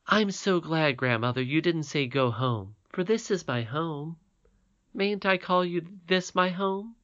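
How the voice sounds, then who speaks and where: A man's voice: on the high side, measured pace, a bit bright, no room sound, pitched above the performer's own voice. A man reading aloud and voicing an earnest, affectionate young princess girl in a bedtime story, in a dull lo-fi recording. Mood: pleading.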